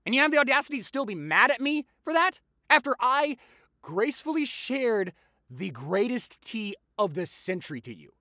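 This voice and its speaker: A man acts out a playful, affectionate young boyfriend spilling gossip in an audio roleplay. His delivery is theatrical and teasing, swinging widely in pitch, and the sound is dry with no room around it. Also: sing-song, normal speed, pushed and bright, no echo, exaggerated voice. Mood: mock offended. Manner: theatrical, exaggerated, teasing, chatty.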